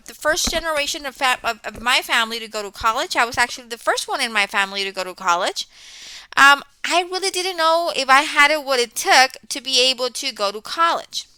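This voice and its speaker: A woman's voice: sits high, bright, forceful tone, no room sound, low-quality recording. A middle-aged woman from Texas, born in Mexico, speaking as a college instructor welcoming students in an enthusiastic, explanatory way. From born in Mexico, grew up in Texas, USA. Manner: enthusiastic, explanatory, often checking in with okay. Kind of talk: welcome announcement to students, semi-scripted talk.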